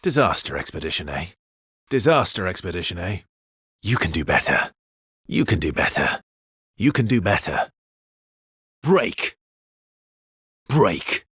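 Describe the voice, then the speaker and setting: Battle-hardened immortal swordsman for a video game: lowish, deliberate, intense, breathy and husky, terse dramatic combat calls. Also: sing-song, fairly bright tone, no echo, clean studio sound, full character voice. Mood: wry, weary. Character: weary, battle-hardened immortal male swordsman, grimly determined.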